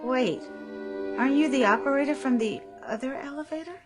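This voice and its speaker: An actress playing a frightened woman shopper trapped in a closed store, halting, pleading and repeating herself in a radio drama. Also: high-pitched, lightly acted. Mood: alarmed, wary. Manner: breathless, pleading, halting and repetitive. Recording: background music under the voice.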